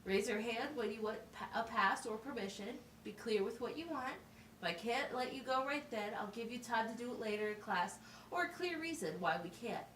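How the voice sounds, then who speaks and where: A woman's voice: on the high side, brisk, fairly bright tone, natural voice. A woman playing a patient, instructive schoolteacher in an amateur puppet show, explaining things simply and asking checking questions. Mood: firm, instructive. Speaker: teacher. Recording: roomy, distant mic with faint noise.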